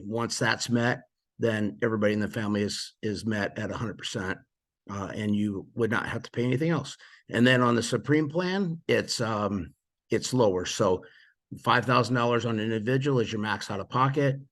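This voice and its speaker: A man casually walking through insurance plan details, a little hoarse and losing his voice, with frequent fillers and restarts. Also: fairly low pitch, a bit bright. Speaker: presenter explaining insurance plans. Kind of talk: casual explanatory walkthrough.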